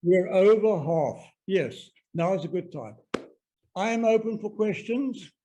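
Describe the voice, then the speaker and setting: Older South African man, mellow voice. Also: sits high, animated, measured pace, rough recording. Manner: chatty, anecdotal, self-deprecating. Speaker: presenter. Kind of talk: illustrated talk on a video call. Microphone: video call.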